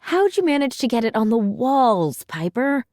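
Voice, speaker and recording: A woman voicing an exasperated, scolding mother in a children's storybook, with emphatic, drawn-out words. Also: fairly high pitch, very animated, darkish tone, no echo, clean studio sound. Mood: stern, exasperated. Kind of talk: children's storybook read-aloud.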